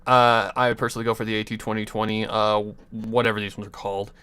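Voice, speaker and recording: A man's voice: lowish voice, level tone, fast talker, pushed and bright, smooth, dry recording. A young man casually chatting on a livestream, giving rambling advice with restarts, chuckles and offhand swearing into a USB desk mic. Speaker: streamer. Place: home computer desk.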